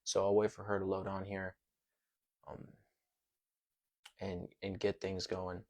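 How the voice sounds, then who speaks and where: Flat-voiced low American man. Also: measured pace. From United States. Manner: loose, rambling, repeats phrases. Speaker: podcast host. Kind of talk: casual live-stream chat.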